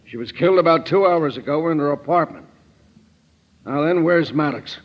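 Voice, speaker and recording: An actor with an American accent playing a brusque, hard-nosed police sergeant in a 1940s radio drama. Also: male voice, fairly high pitch, moderate pace, close to an everyday voice. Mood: stern. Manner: brusque, commanding, accusing. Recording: muffled old-time radio recording.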